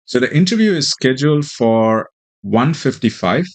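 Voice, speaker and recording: Man presenting tech tutorial, very lively intonation. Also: medium pitch, moderate pace. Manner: explanatory, step-by-step. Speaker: tutorial presenter, software developer. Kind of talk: screen-share tech tutorial narration.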